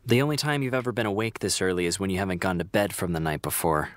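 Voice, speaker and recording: A man's voice: sits low, fast talker, dry recording, natural voice. An actor playing a prim, health-conscious man, exasperated with his friend, in naturalistic audio drama dialogue. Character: fussy, health-conscious morning person, exasperated male friend.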